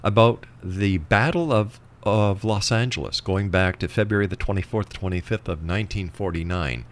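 A man's voice: on the low side, moderate pace. A man hosting an interview show, talking conversationally and making his points with emphasis.

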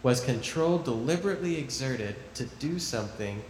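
An actor playing a gentle youth camp preacher giving a measured, folksy sermon in an audio drama. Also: male voice, medium pitch, animated, natural voice. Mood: earnest preaching. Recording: echoey hall with long reverb, faint noise.

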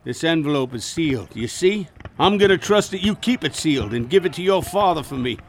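An actor playing a dying old plantation master from the American South in a radio drama, proud and urgent. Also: male voice, fairly high pitch, animated, a little fast, a little hiss or hum, close to an everyday voice. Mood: grave, confiding. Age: older.

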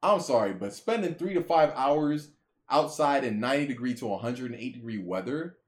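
Young man, casual podcast chat, laughs a lot, very expressive pitch. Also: moderate pace. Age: 20s. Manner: laughs often, animated. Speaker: podcast host.

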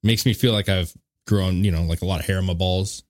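A man's voice: low-pitched, fairly even intonation, brisk, darkish tone. A man hosting a podcast in loose, laid-back banter, swearing freely and chuckling between remarks. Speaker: podcast host.

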